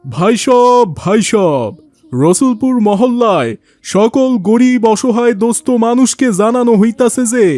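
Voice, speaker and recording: A man plays a poor, humble rickshaw puller for a cartoon. His voice is high for a man, and he speaks deferentially and plainly in a rural Bangladeshi dialect. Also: darkish tone, no room sound. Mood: loudly announcing. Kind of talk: cartoon dialogue acting.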